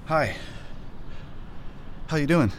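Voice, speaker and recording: An actor in a satirical audio drama playing a wry, awkward, wisecracking man who runs an office, speaking in a halting, flippant way. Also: on the high side, animated, a little hiss or hum. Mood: awkward, hesitant.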